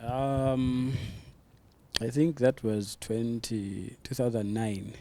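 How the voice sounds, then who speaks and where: A man in his thirties with a Ugandan accent, a singer being interviewed, speaking softly in English and Luganda with halting restarts. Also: mid-range voice, fairly even intonation, a little hiss or hum. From Central Uganda. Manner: soft-spoken, halting, with many restarts. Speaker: singer guest. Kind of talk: casual interview talk mixing English and Luganda.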